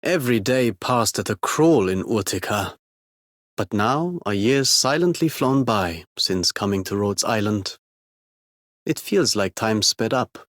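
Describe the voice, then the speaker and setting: An actor playing a calm, contented, reflective man in a scripted game voice line. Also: lowish voice, unhurried, no echo, clean studio sound.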